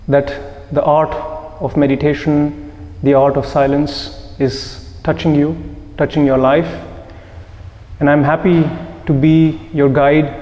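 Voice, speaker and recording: A man guiding a group meditation, speaking deliberately in short phrases with pauses between them. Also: on the high side, mellow. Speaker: meditation guide. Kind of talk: guided meditation talk to a live group. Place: indoor room with a seated group. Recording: echoey hall with long reverb.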